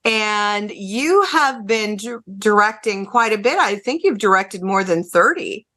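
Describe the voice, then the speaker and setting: A woman's voice: mid-range voice, animated, unhurried, sharp tone. American woman hosting a casual podcast interview. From Ohio, USA. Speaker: podcast host.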